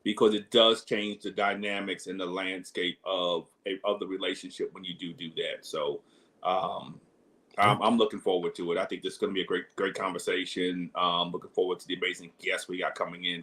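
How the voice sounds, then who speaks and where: Man, co-host, very low voice, relaxed, conversational. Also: brisk.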